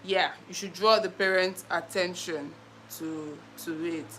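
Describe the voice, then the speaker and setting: Young woman, Nigerian accent, playful chatty livestream host, bright tone, phone mic, slight background hiss. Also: lowish voice, fairly even intonation, unhurried, low-quality recording. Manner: chatty, playful, laughs often, repeats phrases. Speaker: live stream host. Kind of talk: casual live stream chat reading comments.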